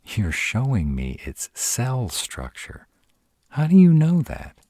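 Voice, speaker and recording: Casting: male actor as gruff tsundere were-dragon boyfriend, animated swings in pitch, close dry sound, tender and guarded, romantic audio drama. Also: dry recording, exaggerated voice. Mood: curious, impressed. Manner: tsundere, teasing yet caring, hesitant pauses. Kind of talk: romantic fantasy audio roleplay, speaking to the listener.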